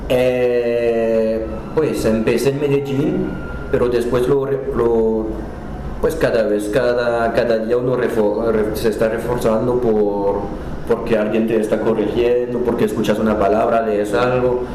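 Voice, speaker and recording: A man's voice: mid-range voice, steady tone, very echoey, background noise. A man from France speaking Spanish with a French accent, chatting as an interview guest and often restarting his words. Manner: halting, restarts words, friendly. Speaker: guest, cultural center director. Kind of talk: casual conversational interview.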